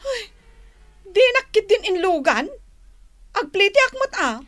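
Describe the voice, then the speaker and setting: An actress playing Estrella, a shrill, quarrelsome village woman in an Ilocano radio drama, speaking with an Ilocano accent and exclaiming and wailing. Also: big pitch swings, unhurried, fairly bright tone, soft background music, exaggerated voice. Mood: complaining.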